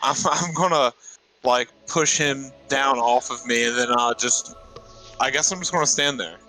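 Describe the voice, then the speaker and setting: A man's voice: medium pitch, normal speed, sharp tone, faint music behind, rough recording. A man playing a tabletop game, describing his moves in a casual, slangy, rambling way.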